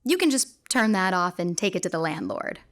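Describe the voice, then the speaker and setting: A woman's voice: lowish voice, very animated, brisk, fairly bright tone. Actress as realtor lady in a radio play, salesy and reassuring, voice swoops around. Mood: breezy, reassuring. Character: smooth-talking, reassuring female realtor showing an apartment. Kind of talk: audio drama acting.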